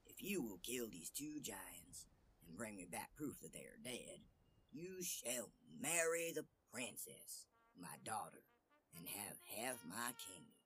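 A man's voice: on the low side, big pitch swings, slow, fairly bright tone, exaggerated voice. Man playing a formal storybook king, faint noise. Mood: flattering, commanding. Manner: formal, commanding. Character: stately male king of a fairy tale, politely commanding.

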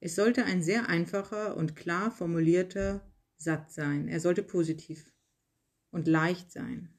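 Very slow-paced guided meditation voice. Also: mid-range voice. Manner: calm, measured instructions in short phrases with pauses. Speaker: yoga nidra guide. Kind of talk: slow guided relaxation meditation, read from a script.

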